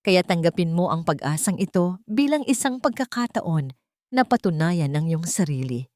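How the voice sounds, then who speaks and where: A woman playing a haughty older rich matriarch in a story, soft-spoken, condescending and falsely sweet. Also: lowish voice, big pitch swings, moderate pace, dry recording, studio quality. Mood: coldly condescending.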